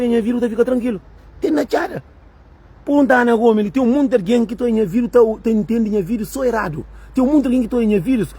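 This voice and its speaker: A woman with a husky, breathy voice rants to her viewers in a rambling way. She keeps circling back to the same words, talking straight at the camera like a video creator. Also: fairly high pitch, warm tone. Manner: rambling and repetitive. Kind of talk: ranting monologue to viewers.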